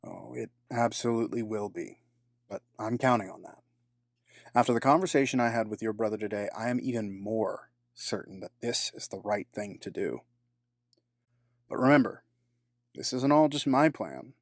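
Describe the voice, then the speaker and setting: A man's voice: on the low side, no echo. Man playing a dry, close-sounding, scheming villain. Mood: resolute, menacing. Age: middle-aged. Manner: formal, measured, sardonic, superior. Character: cold, scheming, menacing middle-aged businessman villain, grieving father. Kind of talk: audio drama acting.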